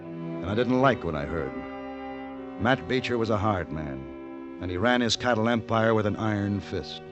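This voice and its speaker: An actor playing a suave, worldly gunfighter with a courtly, theatrical manner in a 1950s radio western. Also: male voice, lowish voice, animated, normal speed, music in the background, close to an everyday voice. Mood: reflective. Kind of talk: 1950s radio acting and narration. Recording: dull vintage radio recording.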